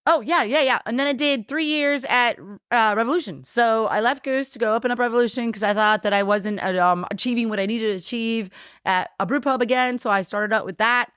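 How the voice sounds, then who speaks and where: A woman whose first language is Polish, chatting as a guest on a bar podcast and telling animated stories with acted-out quotes. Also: fairly high pitch, a little fast, clear, resonant tone, dry recording, clean studio sound. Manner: animated storyteller, acts out quotes, swears casually. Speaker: guest, brewery co-owner. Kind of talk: casual bar podcast chat.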